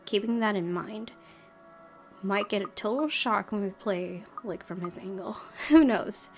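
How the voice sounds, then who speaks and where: A woman with a mid-pitched voice does let's play commentary and reads story text aloud. Game music is clearly audible behind her. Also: a little slow. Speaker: gaming video host.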